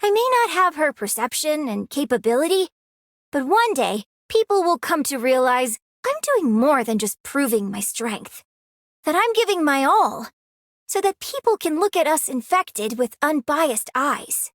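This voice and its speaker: A hardworking young game heroine, hopeful and sincere. Her voice is very high, with a dry, close studio sound. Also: very animated, unhurried, no echo. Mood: determined, hopeful. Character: earnest, hopeful young woman operative, hardworking and caring. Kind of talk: video game character voice line.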